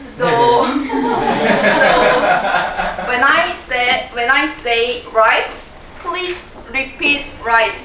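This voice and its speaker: Slow Korean-accented woman presenting live. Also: fairly high pitch, noisy background. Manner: interactive, quizzes the audience and laughs often. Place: large room with a live audience. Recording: echoey room from a distance.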